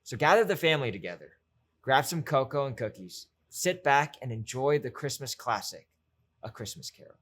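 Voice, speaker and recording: A man's voice: mid-range voice, lively intonation, normal speed, fairly bright tone. A man hosting, delivering a scripted introduction to a special performance. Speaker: host.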